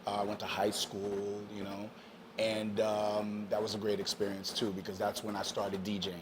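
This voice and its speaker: A Haitian-born man in his fifties speaks with a lowish voice, sharing his story in an easygoing, rambling way. He fills pauses with you-knows and ums and drops into French here and there. The recording has noticeable background noise. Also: completely flat delivery. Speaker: DJ guest. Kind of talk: casual interview chat.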